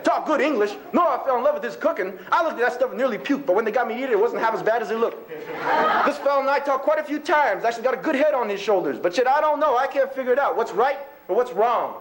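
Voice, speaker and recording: A man's voice: very high voice, lively intonation, quick pace, a bit bright, a little hiss or hum. A man voicing a bigoted old rancher grandfather in a folksy drawl, reciting a dramatic monologue poem. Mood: bewildered, softening. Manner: folksy drawl, exclaiming, colloquial rural speech.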